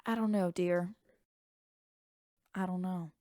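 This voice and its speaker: A woman's voice: on the low side, steady tone, background noise. A shrewd kindly elderly woman. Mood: grave, dry. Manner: dry, grandmotherly, unhurried. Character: woman in her eighties, kindly shrewd amateur sleuth. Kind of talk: radio drama acting.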